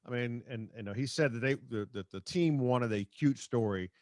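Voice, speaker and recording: A man hosting a sports talk show, speaking conversationally and haltingly as he comments and reads out quotes. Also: medium pitch, a little mellow, dry recording, studio quality.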